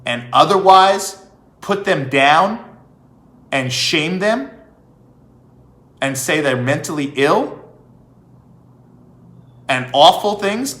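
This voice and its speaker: A man giving an emphatic opinion monologue as a vlog host, with rhetorical questions and deliberate pauses. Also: high-pitched, a bit bright. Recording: echoey room.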